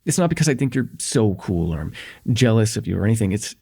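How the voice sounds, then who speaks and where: A man playing a shy, smitten young student in an intimate ASMR roleplay, with an earnest, hesitant delivery and a husky, slightly gravelly voice. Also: fairly low pitch, big pitch swings, fast, exaggerated voice. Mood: nervous, tender. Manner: hesitant, earnest, stammering, chuckling softly between phrases.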